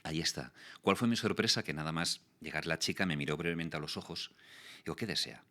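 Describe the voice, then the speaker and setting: A man in his forties, a guest in an interview, explaining things in a lively way and acting out the people in his stories. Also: very deep voice, fairly quick. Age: 40s. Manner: explanatory, animated storytelling with voiced quotes. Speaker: guest, voice actor and communication coach. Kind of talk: casual interview talk.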